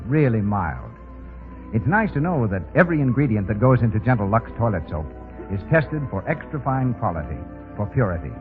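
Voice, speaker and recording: A male radio announcer in the 1930s style, polished and persuasive. His pitch swings widely from line to line. The sound is a tinny old broadcast recording with music around it. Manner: polished, persuasive sales delivery.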